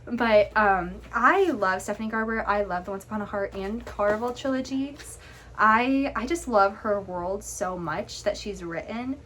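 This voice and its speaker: A woman book vlogger with a hoarse, fading voice, chatting casually and rambling with lots of fillers and restarts. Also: mid-range voice, unhurried, a bit bright, a little hiss or hum. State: hoarse, losing her voice. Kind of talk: casual chat.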